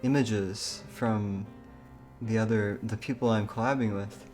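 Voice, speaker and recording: A man's voice: fairly low pitch, fairly even intonation, unhurried, a little mellow. A man hosting a live stream in a casual, chatty way, giggly and easily sidetracked. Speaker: livestreamer. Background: background music.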